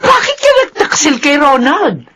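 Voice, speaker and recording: A woman's voice: sits high, very animated, moderate pace, a bit bright. An actress playing an older mother in a radio drama, tearfully scolding her daughter.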